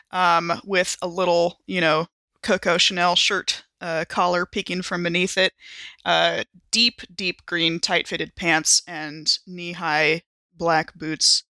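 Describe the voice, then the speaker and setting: A woman's voice: lowish voice, sharp tone, no echo. A woman playing a tabletop game, thinking aloud as she describes her character.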